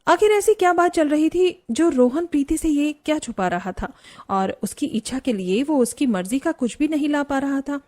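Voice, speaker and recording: A woman's voice: sits high, lively intonation, normal speed, a little mellow. A woman reading scripted narration for a family cartoon story.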